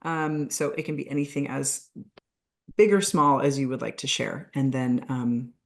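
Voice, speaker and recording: A woman coach leading a live group call, her voice still a little hoarse, talking in a friendly, informal way with many restarts. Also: a bass voice, steady tone, normal speed. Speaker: weight-loss coach.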